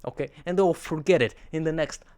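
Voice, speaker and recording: A teenage boy with a deep radio voice, talking earnestly to camera in a rambling vlog monologue with sarcastic asides. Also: fairly quick, a little mellow. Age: teens. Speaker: vlogger.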